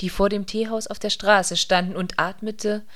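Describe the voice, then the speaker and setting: A woman narrating a literary radio play from a script in a measured, serious manner. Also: medium pitch, fairly even intonation, a bit bright.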